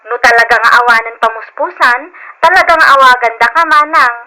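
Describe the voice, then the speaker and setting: Bright, high-voiced dutiful younger sister. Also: female voice, brisk, poor audio. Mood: earnest protesting. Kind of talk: old Philippine radio drama acting. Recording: old radio drama recording.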